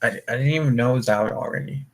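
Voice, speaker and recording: A man's voice: medium pitch, quick pace, crowd noise, poor audio. Tipsy young man rambling on a voice call. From US Mountain Time zone. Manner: rambling, swears a lot, stumbles over words. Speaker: voice call host. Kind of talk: casual group voice chat.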